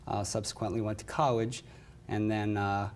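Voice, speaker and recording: A man's voice: lowish voice, flat delivery, moderate pace, a little hiss or hum. A man from West Virginia, a hospital nurse manager, giving polite and explanatory interview answers with a bit of dry humor.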